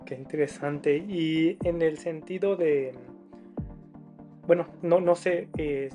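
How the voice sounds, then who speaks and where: Guy hosting an interview, kinda hesitant questions, slightly high voice, faint music. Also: a little mellow. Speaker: interview host.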